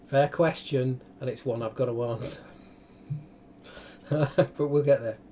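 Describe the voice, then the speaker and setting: A man's voice: mid-range voice, fairly even intonation, a little mellow. Casual male host for an online Q&A, mid-range voice, hesitant delivery that circles back, noise on the line. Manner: hesitant, halting, circles back and repeats phrases. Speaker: film-maker hosting a live online question session. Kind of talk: casual unscripted question-and-answer talk. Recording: clearly noisy live stream audio.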